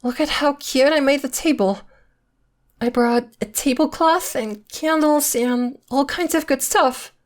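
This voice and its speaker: A woman's voice: high voice, unhurried. A woman playing a possessive girlfriend who is secretly a witch, coy and sweetly menacing, in an ASMR roleplay. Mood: affectionate, possessive. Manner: coy, teasing, veiled threats in a sweet tone.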